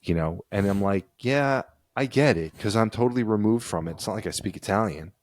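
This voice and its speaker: Low-voiced man co-hosting a chat. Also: a little fast, dry recording. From United States. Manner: reads passages aloud, then riffs conversationally. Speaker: podcast co-host. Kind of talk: casual chat.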